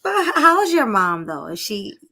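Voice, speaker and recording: A woman co-hosting a casual talk show, chatty and sympathetic, reacting quickly to her guests. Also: mid-range voice, big pitch swings, pushed and bright. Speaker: podcast co-host. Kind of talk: casual chat.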